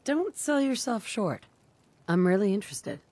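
A woman's voice: mid-range voice, sing-song, normal speed, warm tone, no echo. A woman playing a blunt, curious wasteland adventurer with direct, plain-spoken delivery in a video game. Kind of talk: video game player-character dialogue.

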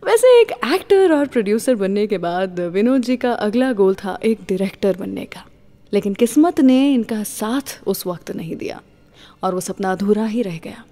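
Woman radio host, mellow, heavily reverberant. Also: medium pitch, animated, moderate pace, soft background music. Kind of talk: radio storytelling and song introductions. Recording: long reverberant hall echo.

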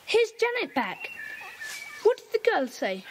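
Brisk young club leader, acted, high and animated, hissy cassette drama. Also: full character voice. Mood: eager. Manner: brisk, commanding. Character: bossy boy leader of a children's club. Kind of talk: radio play acting.

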